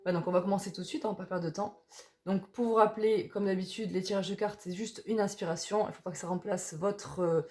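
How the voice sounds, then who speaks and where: A young woman from France with a hoarse voice from a cold, chatting casually and rambling with many fillers as she presents a tarot deck. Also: medium pitch, fairly even intonation, fairly quick, darkish tone. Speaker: tarot reader. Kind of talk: casual tarot vlog chat.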